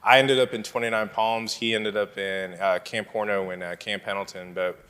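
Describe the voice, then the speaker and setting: A man from Southern California, a guest answering interview questions in a halting way with many fillers. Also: lowish voice, fairly even intonation, a bit bright, smooth, in a large hall. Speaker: political candidate guest. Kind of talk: casual interview chat.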